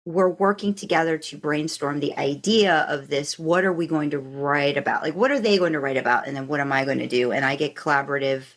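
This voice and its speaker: Woman, slightly low voice, podcast host, casual conversational style, rambling, thinking aloud. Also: a little fast, hardly any pauses.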